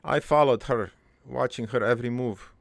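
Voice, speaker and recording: A man playing a crude but wistful old dead father in a cartoon, rambling through his life story in a halting, gravelly, improvised monologue. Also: mid-range voice, no room sound, lightly acted. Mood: fond, sly. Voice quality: gravelly, creaky.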